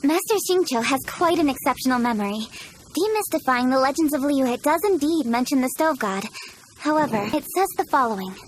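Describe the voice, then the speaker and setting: A woman's voice: high voice, lively intonation, a little fast, slight background noise, low-quality recording. A woman playing a formal, decisive young noblewoman official in a video game, speaking articulately and with authority. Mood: composed, formal.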